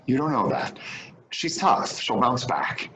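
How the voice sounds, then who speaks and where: A man's voice: medium pitch, sing-song, takes it slowly, pushed and bright, heavily acted, low-quality recording. A man acting a loyal, protective friend in a staged play reading, firm and reassuring.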